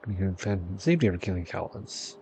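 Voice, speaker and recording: A man's voice: sits low, lively intonation, fast, gravelly, dark tone, a little hiss or hum. A man giving casual gameplay commentary, offhand and thinking aloud as he reads out choices. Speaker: gamer playing on camera or stream. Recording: game music behind the voice.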